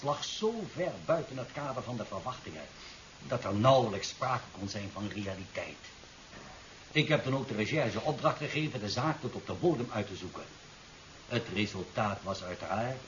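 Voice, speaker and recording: An actor playing a self-assured, condescending government official in a 1970s radio drama, formal. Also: male voice, medium pitch, lively intonation, normal speed, fairly bright tone, close to an everyday voice. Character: smooth-talking, assured male official, condescending and domineering. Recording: dull, hissy 1970s radio recording.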